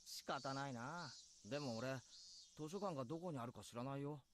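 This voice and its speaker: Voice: mid-range voice, rapid-fire, noisy background, lightly acted. A man playing a casual, slightly brash and grumbling teenage schoolboy in a Japanese visual novel, with blunt boyish speech. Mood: reluctant.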